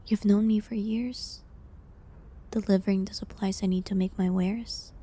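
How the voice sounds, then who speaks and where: A woman playing a gentle, sorrowful village herbalist condemned as a witch, speaking in a hushed, halting way in a dramatic audio roleplay. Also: mid-range voice, steady tone, natural voice. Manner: hushed, breathy, halting delivery.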